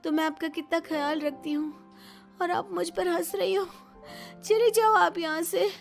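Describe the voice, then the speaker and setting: A woman's voice: high voice, steady tone, measured pace, soft background music, voice pushed up. A woman voicing an earnest young daughter-in-law, easily offended, in a story cartoon. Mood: hurt, indignant.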